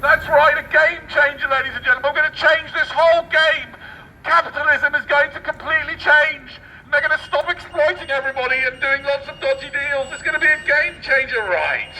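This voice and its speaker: A man's voice: extremely high pitch, brisk, pushed and bright. A man reading the news aloud as satirical street oratory, with theatrical, sarcastic mock enthusiasm. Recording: distant outdoor mic, faint street noise.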